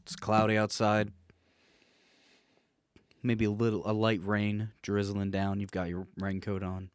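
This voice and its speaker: A man co-hosting a podcast in casual, nostalgic banter, telling stories in an animated way with easy swearing. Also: on the low side, measured pace, clean tone, dry recording, studio quality. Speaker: podcast co-host.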